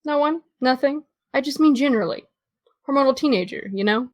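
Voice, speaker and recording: A fairly high voice, recorded dry: a young woman playing a guarded American teenage girl. She is wry and understated, with a dry wit, in natural audio drama dialogue. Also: brisk, no room sound, close to an everyday voice. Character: guarded, dryly witty, particular teenage girl.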